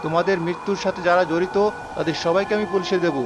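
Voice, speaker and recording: Man acting a quick, high-voiced earnest young doctor. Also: steady tone, noisy background, natural voice. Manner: earnest, reasoning. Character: brave, compassionate young male village doctor. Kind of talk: animated story acting. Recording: music behind the voice.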